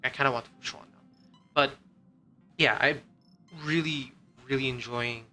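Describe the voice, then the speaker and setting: A man's voice: mid-range voice, fairly even intonation, moderate pace, sharp tone, soft background music. A man giving casual, rambling game commentary as a streamer, with lots of "like" and some casual swearing.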